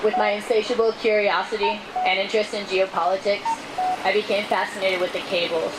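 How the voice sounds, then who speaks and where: Woman reading testimony over clear background noise. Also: medium pitch, flat delivery, brisk. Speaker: speaker reading a testimony at a rally. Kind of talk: reading prepared testimony aloud. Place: outdoors at a city street rally. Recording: noisy outdoor street recording.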